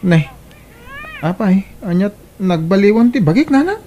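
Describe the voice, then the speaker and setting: A woman's voice: medium pitch, sing-song, unhurried, mellow, dull audio, a little hiss or hum. A woman playing an aggrieved grown daughter in a comedic radio drama, complaining in a plaintive way. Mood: weary. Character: aggrieved grown daughter venting about spouse and in-laws.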